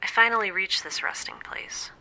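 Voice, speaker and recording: An actress playing a lonely, wry young space scientist in an audio drama, confiding hesitantly and often dropping to a near-whisper over a log recording. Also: female voice, mid-range voice, moderate pace, sharp tone, poor audio. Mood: haunted, dread. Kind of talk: scripted audio drama monologue, intimate dream-log recording.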